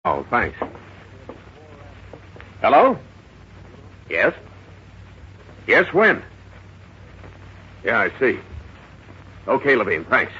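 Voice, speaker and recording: A shrewd American police sergeant from a 1950s radio play. He is brisk and skeptical, his pitch moving freely, on a muffled old recording with faint noise. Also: wildly swooping pitch, sharp tone, full character voice. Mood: businesslike. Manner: brisk, matter-of-fact, skeptical. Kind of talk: 1950s radio drama acting.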